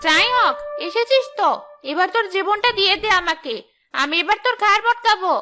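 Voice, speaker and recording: A woman's voice: very high-pitched, expressive, brisk, sharp tone, faint music behind, full character voice. A woman voicing a predatory female ghost witch who lives in a tree hollow, with a gloating, menacing manner in a cartoon. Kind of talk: cartoon voice acting.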